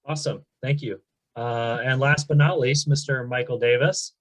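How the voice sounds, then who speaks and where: A man's voice: medium pitch, steady tone, moderate pace, no echo, poor audio. Upbeat man moderating on dry audio. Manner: friendly, upbeat, many ums. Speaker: event moderator. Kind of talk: hosting a panel webinar. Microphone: video call.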